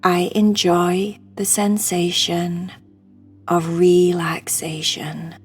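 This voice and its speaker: A woman guiding a sleep meditation in a hushed, soothing, breathy voice that often nears a whisper. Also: lowish voice, little pitch movement, very slow, soft background music. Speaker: meditation guide.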